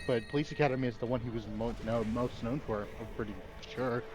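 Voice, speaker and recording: A man's voice: medium pitch, fairly even intonation, fast talker, over music, low-quality recording. Teasing man chatting casually. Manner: teasing, joking. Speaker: friend on the stream. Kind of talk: casual chat.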